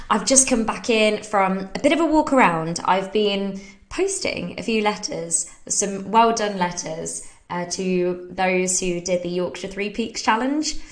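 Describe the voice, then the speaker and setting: An English woman coaching a live fitness Q&A in a relaxed, chatty way, breaking into laughs as she explains push-up technique. Her voice is slightly bunged up from hay fever. She talks warmly and informally, as if to friends following along at home. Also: medium pitch, unhurried. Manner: chatty and upbeat, laughs often. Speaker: fitness coach and podcast host. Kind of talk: casual live Q&A, talking through exercise technique.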